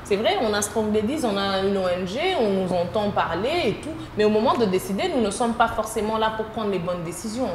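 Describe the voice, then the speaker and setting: A woman's voice: medium pitch, fairly quick, few pauses. A woman with a Congolese French accent, answering as an interview guest and repeating phrases for emphasis. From Democratic Republic of the Congo. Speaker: interview guest, social entrepreneur. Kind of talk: conversational interview. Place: indoors at own premises. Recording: roomy echo with background noise.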